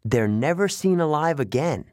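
A man voicing an earnest, idealistic young male satyr who sounds wistful and quick to take offense. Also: fairly high pitch, lively intonation, a little mellow, no room sound, studio quality, voice pushed up, natural voice. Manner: wistful, indignant about pollution.

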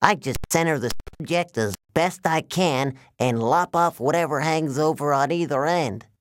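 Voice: medium pitch, moderate pace, slight background noise, pitched above the performer's own voice, lightly acted. A performer voicing a genial but menacing male giant who talks in folksy, drawling sales patter, in an audiobook. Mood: chatty, pleased. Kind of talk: audiobook character voice.

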